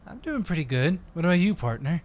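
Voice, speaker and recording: A man's voice: fairly high pitch, very animated, fast talker, darkish tone. A man playing an eager, friendly undercover agent in an audio roleplay, talking in a polite, chatty way. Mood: earnest, polite.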